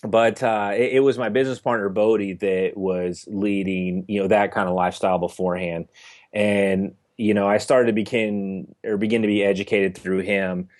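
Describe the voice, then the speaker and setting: A young man from Northern California, answering interview questions in a halting way, full of fillers and restarts. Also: fairly low pitch, steady tone, a little mellow. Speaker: interview guest. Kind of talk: casual interview conversation.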